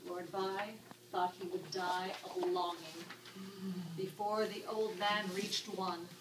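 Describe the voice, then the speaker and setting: A woman narrating a written story in an expressive, arch, dramatic style. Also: medium pitch, takes it slowly. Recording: echoey room, distant mic, background noise.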